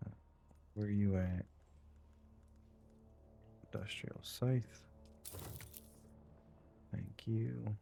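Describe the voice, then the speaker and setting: A man doing casual live gameplay commentary, thinking aloud and muttering to himself in short, unhurried phrases. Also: fairly low pitch, steady tone, gravelly, warm tone, slight background noise. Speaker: game streamer.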